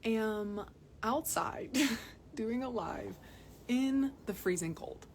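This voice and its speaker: A woman's voice: a little high, takes it slowly, a little mellow, slight background noise. A young adult woman from Massachusetts, chatting casually to viewers in a live video recorded outdoors on a phone, often repeating words for emphasis. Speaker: health vlogger. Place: outdoors in cold mountains.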